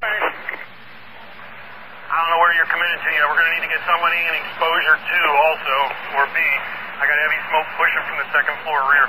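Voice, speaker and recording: Guy on a fire radio, high voice, clipped urgent commands, lots of noise. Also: flat delivery, brisk. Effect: two-way radio. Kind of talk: fireground radio communication. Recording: noisy fire scanner radio traffic.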